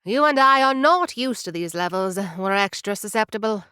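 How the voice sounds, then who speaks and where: A woman's voice: mid-range voice, expressive, normal speed, pushed and bright, smooth, no room sound, studio quality. A woman playing a curt tiny ship captain. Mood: brusque. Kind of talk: audio drama acting.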